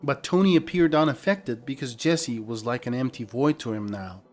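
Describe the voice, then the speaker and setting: Male story narrator, mid-pitched voice. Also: normal speed, darkish tone, faint music behind. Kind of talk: scripted dramatic story narration.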